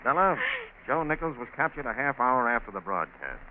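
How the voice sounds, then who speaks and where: An American actor playing a tough, shrewd police lieutenant, clipped, stern and sardonic, in a 1940s radio crime drama. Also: male voice, medium pitch, big pitch swings, a little fast, close to an everyday voice. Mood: sardonic, accusing. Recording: noisy narrow-band 1940s radio.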